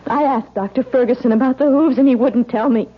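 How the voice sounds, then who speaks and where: An actress playing an anxious young bride in an old radio drama, questioning with brooding intensity. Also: female voice, a little high, a little fast, lightly acted. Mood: troubled, probing. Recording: dull old radio broadcast.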